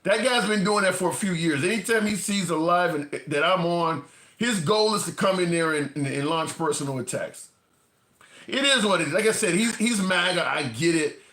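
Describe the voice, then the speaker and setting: A man hosting a casual political chat and talking in a blunt, profane, animated way. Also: high voice, normal speed, roomy sound. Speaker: political commentator host.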